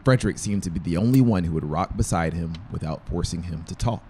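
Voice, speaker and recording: A man's voice: sits low, fast, dark tone, slight background noise. A man in his thirties reading scripted fiction as an audiobook narrator, close on the mic in a small room. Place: small brick-walled room, indoors. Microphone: close dynamic mic on boom stand. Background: faint music.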